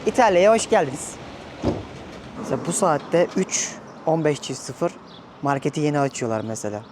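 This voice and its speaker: A man's voice: fairly high pitch, very animated, moderate pace. A man hosting a casual travel vlog, talking informally and directly to viewers. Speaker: travel vlogger, host. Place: outdoors and on the road. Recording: noisy outdoor audio with traffic ambience.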